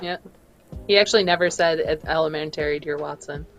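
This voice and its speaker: A woman's voice: sits low, a little fast. A woman chatting casually as one of a group of panel participants.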